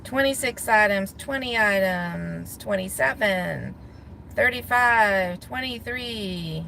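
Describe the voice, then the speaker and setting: A woman from Guam chatting casually to viewers from her car, thinking out loud and laughing easily. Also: mid-range voice, slow, creaky, a little hiss or hum. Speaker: gig delivery driver vlogger. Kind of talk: casual talking to viewers while driving. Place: inside a parked car.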